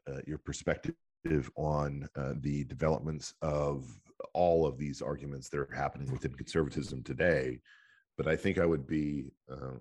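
A man's voice: very deep voice, darkish tone, slightly rough. A male podcast host asking long, loose questions full of "uh" fillers. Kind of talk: conversational interview.

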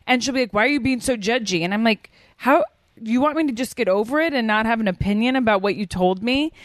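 A woman's voice: fairly high pitch, quick pace, dry recording. A woman guest on a podcast, chatting and telling stories with quoted asides. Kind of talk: casual chat.

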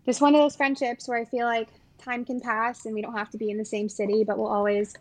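A woman's voice: fairly high pitch, little pitch movement, fast talker, rough recording. A woman in her twenties from the Los Angeles area, chatting casually with a friend, trailing off with fillers. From Los Angeles area, USA. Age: 20s. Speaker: podcast guest. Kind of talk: casual chat.

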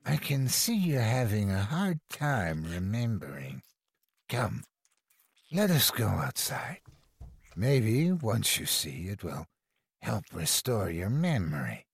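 A man playing an eerily gentle alien cult devotee in an audio horror story, speaking slowly and fervently with a creaky voice. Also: on the low side, big pitch swings, no room sound, full character voice. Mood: gentle, coaxing. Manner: slow, dramatic, fervent preaching delivery.

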